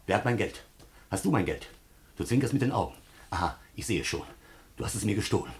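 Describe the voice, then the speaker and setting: A man's voice: on the low side, lively intonation, brisk. An actor playing a ruined, paranoid man who laments and accuses with pompous indignation in a German radio comedy. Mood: suspicious, indignant. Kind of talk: theatrical German radio play acting of a classical comedy.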